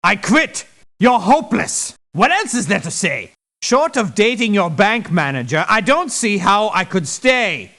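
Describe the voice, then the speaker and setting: An actor voicing an exasperated, dismissive, sarcastic mercenary in a video game. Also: male voice, high-pitched, sing-song, unhurried, pushed and bright, full character voice. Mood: fed up. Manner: sarcastic, scornful. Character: exasperated, dismissive, sharp-tongued male mercenary. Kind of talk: video game character voice lines.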